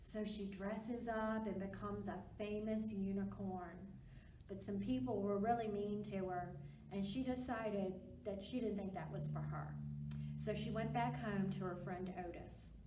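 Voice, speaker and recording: A woman reading aloud to young children at a storytime, with simple, encouraging, expressive delivery. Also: mid-range voice, measured pace. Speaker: librarian storytime reader. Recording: roomy, distant mic with background noise.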